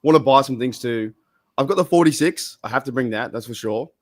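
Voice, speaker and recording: A man's voice: mid-range voice, brisk. An Australian man co-hosting a podcast over a video call, chatting casually, swearing and laughing, his voice a little worn. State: losing his voice. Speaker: podcast co-host. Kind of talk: casual chat.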